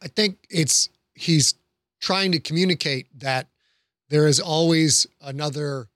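A man's voice: fairly high pitch, unhurried, a bit bright, no echo, studio quality. A man on a podcast panel, speaking casually and earnestly, making encouraging points with illustrative examples.

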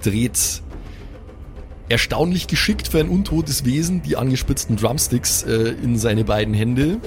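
A man's voice: medium pitch, a little slow, soft background music. A man, narrating an improvised tabletop game as game master.

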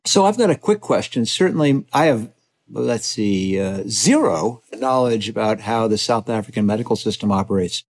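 Lively, curious male podcast host. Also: lowish voice, moderate pace. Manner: lively, inquisitive, playful. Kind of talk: conversational interview.

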